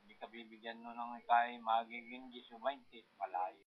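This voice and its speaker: A man's voice: medium pitch, fairly even intonation, moderate pace, phone-quality sound. An older man, reminiscing casually in a rambling, colloquial, demonstrative way. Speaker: farmer recalling his working life.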